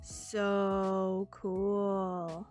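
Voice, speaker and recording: A woman's voice: medium pitch, level tone, very slow, a little mellow, soft background music. A woman voicing a cheerful, quirky teenage girl who chats playfully in a fan dub, with an airy, teasing manner.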